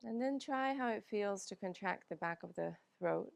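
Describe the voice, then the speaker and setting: A woman whose first language is Finnish, teaching a yoga class in a calm, unhurried way with frequent pauses and "um"s. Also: mid-range voice, vocal fry, dry recording. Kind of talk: guided yoga class instruction.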